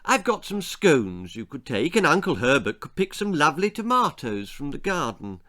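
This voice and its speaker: Bloke doing a doting auntie from a kids book, homely, fussy, pitched up and lively. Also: male voice, moderate pace. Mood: indulgent. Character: kindly, doting countryside aunt, grown woman.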